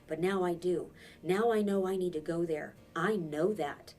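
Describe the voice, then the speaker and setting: A woman's voice: on the low side, moderate pace, a little mellow, far from the mic, faint music behind, close to an everyday voice. A woman reading a storybook and voicing an earnest, stubborn boy, speaking with insistent emphasis.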